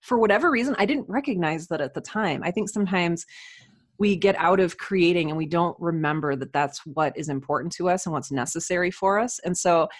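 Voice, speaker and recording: A woman in her thirties speaks in a fairly low register. She tells personal stories in a casual, self-deprecating way, as a guest on a podcast would. The sound is dry, with very little room. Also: brisk, no echo. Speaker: interview guest, writer and podcaster.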